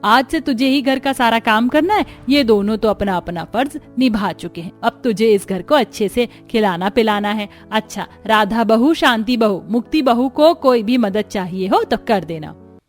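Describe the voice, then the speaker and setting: A woman's voice: a little high, lively intonation, moderate pace, soft background music, natural voice. A woman voicing a bossy middle-aged mother-in-law in a cartoon, commanding and emphatic.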